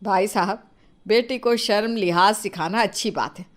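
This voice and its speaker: A woman's voice: medium pitch, moderate pace, lightly acted. A woman playing an exasperated, dramatic middle-aged mother-in-law who nags and scolds in an acted moral story. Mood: complaining. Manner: nagging, theatrical.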